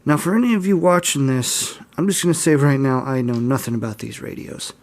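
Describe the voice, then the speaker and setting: A man's voice: medium pitch, animated, a little fast. Casual young man showing off gear. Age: early 20s. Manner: unscripted, unsure, self-deprecating. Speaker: hobbyist video host. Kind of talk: casual informal show-and-tell.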